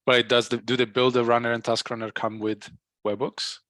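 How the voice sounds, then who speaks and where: A man in a casual technical work discussion, thinking aloud haltingly and often repeating words as he reasons. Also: medium pitch, level tone, a bit bright, no echo, clean studio sound. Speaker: software engineer in a team meeting. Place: work call, close dry sound.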